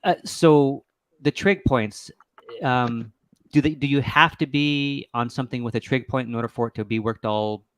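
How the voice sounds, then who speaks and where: A man's voice: mid-range voice, moderate pace, clear, resonant tone. An American man hosting a casual hobby discussion, asking questions in a hesitant, rambling way. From United States. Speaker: host.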